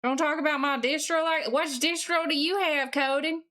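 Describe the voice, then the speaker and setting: A woman's voice: high voice, steady tone, moderate pace, sharp tone. A woman with a put-on Southern US accent, chatting casually as a live streamer in a folksy, mildly sweary way while working at a computer.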